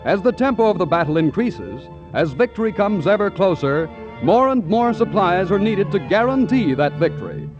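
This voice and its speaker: A man's voice: high voice, very animated, normal speed, a little mellow, faint music behind. A man with an American accent making formal, emphatic announcements as a 1940s radio announcer. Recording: dull 1940s radio broadcast.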